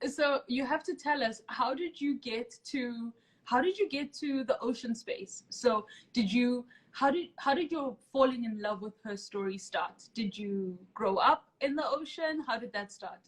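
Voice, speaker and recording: Female host, slightly high pitch, conversational, emphatic storyteller. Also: unhurried, low-quality recording. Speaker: podcast host. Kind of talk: casual conversational interview.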